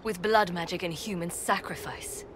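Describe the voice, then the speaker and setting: Actress as a jaded female mage hero, lowish voice, wry, cynical, game cutscene, clearly noisy background. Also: fairly even intonation, moderate pace, natural voice. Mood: dismayed. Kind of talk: video game cutscene acting.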